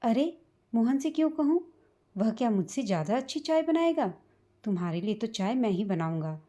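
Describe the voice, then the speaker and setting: Woman voicing a caring, mellow-voiced husband. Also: a little high, sing-song, normal speed. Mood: affectionate insistence. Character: caring older husband, a doctor, affectionate and earnest.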